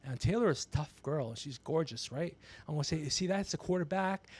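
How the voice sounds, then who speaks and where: A man's voice: on the high side, animated, fairly quick, a little mellow, breathy edge. A man in his fifties chatting casually on a livestream as host, brash and ranting, swearing freely. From Persian background. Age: 50s. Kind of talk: casual profane livestream chat.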